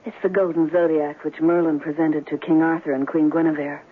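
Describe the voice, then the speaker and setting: A woman's voice: low voice, flat delivery, fairly quick. An actress playing an alluring, evasive female client in a 1970s detective radio drama. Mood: pleading. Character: alluring, evasive female client in trouble, noir femme fatale. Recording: muffled old radio broadcast.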